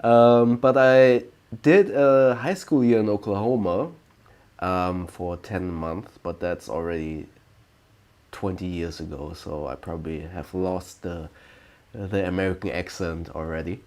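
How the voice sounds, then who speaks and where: A man in his late thirties from Germany with a German accent, hosting a casual question-and-answer livestream, pausing often with ums and restarting sentences. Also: sits low, fairly even intonation, a little mellow. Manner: slow, hesitant, many ums and restarts. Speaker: livestream host.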